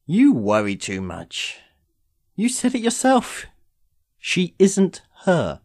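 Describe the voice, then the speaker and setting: A man's voice: sits high, huge pitch swings, darkish tone, dry recording, full character voice. Immortal sorcerer played by a man, audio drama: suave, teasing, formal, swooping mid-range voice, very dry sound. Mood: guarded. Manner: measured, theatrical, formal phrasing.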